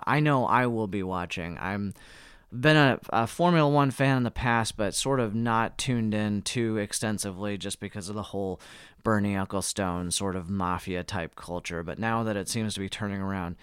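A man's voice: lowish voice, moderate pace, clear, resonant tone, no room sound, studio quality. An American man with a cold and a slightly wheezy edge, hosting a podcast news rundown with wry, casual asides. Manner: wry, tongue-in-cheek. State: fighting a cold. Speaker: podcast host.

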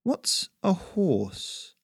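A man's voice: sits high, sing-song, very slow, vocal fry, husky, no room sound, clean studio sound, voice pushed up, full character voice. A man voicing a puzzled, indignant young farm lad in an audio story. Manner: incredulous, earnest, plain.

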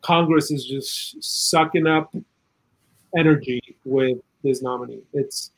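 A man from South America giving earnest, opinionated political commentary in a conversational way, with a husky voice and plenty of "you know". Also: mid-range voice, fairly even intonation, takes it slowly, low-quality recording. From South America, Chile. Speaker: interview guest, political and legal commentator.

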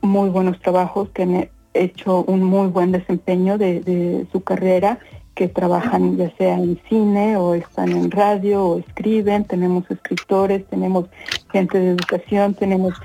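Mexican woman, even-toned and mellow. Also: on the low side, rough recording. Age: 50s. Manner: hesitant, often repeats words while searching for phrasing. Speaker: interview guest, corporate professional. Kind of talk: conversational interview answers.

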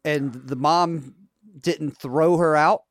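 A man's voice: on the high side, fairly even intonation, takes it slowly, dry recording, clean studio sound. A man co-hosting a podcast, teasing and skeptical in casual banter. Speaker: podcast co-host.

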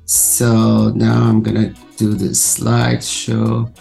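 A man in his sixties from Iran speaks as a podcast guest, slow and deliberate in his pace. He pauses often and fills gaps with "uh", heard over a video call with faint guitar music behind. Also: on the low side, completely flat delivery, creaky, mellow. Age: 60s. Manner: slow and thoughtful, with fillers. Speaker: guest artist. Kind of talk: casual interview talk.

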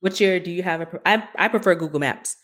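A woman hosting a tech podcast, explaining things casually in a measured way with pauses. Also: lowish voice, fairly bright tone. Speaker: podcast host.

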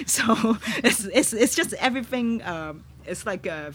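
A woman with a Hong Kong accent, a native Cantonese speaker, talking as a guest musician on a podcast in a halting way, often searching for words. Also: fairly high pitch, expressive, a bit bright, slight background noise. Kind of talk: podcast conversation. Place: on a boat in a harbour.

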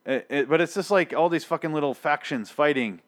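A man's voice: fairly high pitch, a little fast. A young man reviewing a TV episode in an exasperated, profane rant, full of restarts and rambling asides, his voice getting worn. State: losing his voice. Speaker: TV show reviewer.

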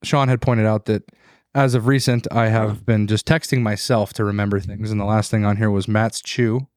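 A man's voice: lowish voice, little pitch movement, moderate pace, a little mellow, no echo, clean studio sound. Joking man who swears freely. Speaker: podcast co-host. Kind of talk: casual chat.

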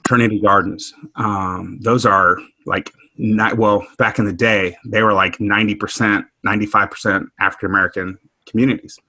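A middle-aged man from Houston, speaking thoughtfully in a group discussion, halting and restarting as he works through his points. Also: on the low side, steady tone, sharp tone, poor audio. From Houston, Texas. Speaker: discussion panelist. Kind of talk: reflective conversational discussion.

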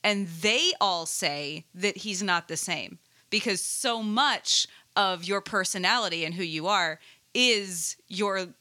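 A woman's voice: medium pitch, slow pace, pushed and bright. A woman in casual group conversation, with a wry, dry-humoured way of talking. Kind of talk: casual chat.

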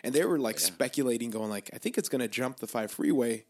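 A man with a mid-pitched voice hosts a podcast in a relaxed, friendly way. He banters with his guest, swears freely and laughs along. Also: fast. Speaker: podcast host. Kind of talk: casual podcast chat.

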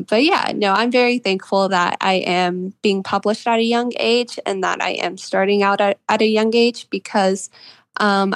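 A woman of about twenty speaks as an interview guest, reflective and earnest. Her voice sits at a middling pitch for a woman. The sound is very dry, with no room around it. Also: gravelly, dry recording. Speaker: interview guest, fiction author. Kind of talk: interview answers, reflective conversation.

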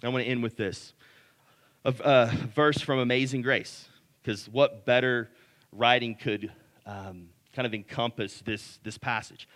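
A man's voice: steady tone, unhurried, fairly bright tone. A man, preaching a conversational sermon that is full of restarts and "right?" checks. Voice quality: deep voice. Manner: conversational, repeats words, frequent "right?". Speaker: pastor.

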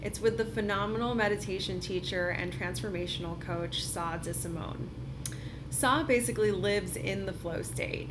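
An enthusiastic woman hosts a podcast and interviews a guest in a lively, upbeat way. She laughs easily. Steady noise runs under her voice. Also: fairly low pitch. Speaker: podcast host. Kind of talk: scripted intro then casual interview. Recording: noisy background.

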